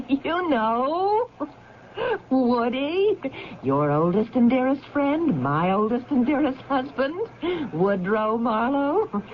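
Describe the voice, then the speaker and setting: A woman's voice: sits high, animated, slow. An actress playing an insistent wife searching for her husband in a 1970s radio drama, chatty, theatrical and pressing. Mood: insistent, puzzled. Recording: muffled, faintly noisy old radio recording.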